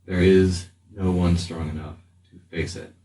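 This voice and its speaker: A man playing a grave, stoic, haunted warrior in a tabletop game, speaking in a halting, formal monotone. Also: sits low. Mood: solemn, foreboding. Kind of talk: tabletop roleplay acting. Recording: roomy distant mic, faint hiss.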